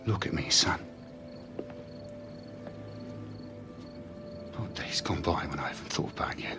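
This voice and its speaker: An actor playing a regretful, estranged middle-aged father in a video game drama, speaking haltingly and confessionally. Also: a bass voice, lively intonation, over music. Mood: tender, hurt.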